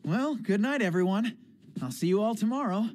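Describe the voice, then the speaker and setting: A woman's voice: mid-range voice, lively intonation, moderate pace, lightly acted. A woman voicing an earnest, exasperated, defensive teenage boy in an anime dub. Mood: resigned, reassuring. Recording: loud music under the voice.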